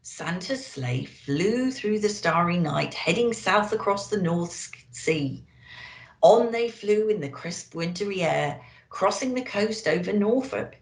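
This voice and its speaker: Slow, expressive woman storyteller, echoey room. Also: mid-range voice. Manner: expressive, deliberate. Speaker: storyteller in character as a Christmas elf. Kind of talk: children's storybook reading and festive address.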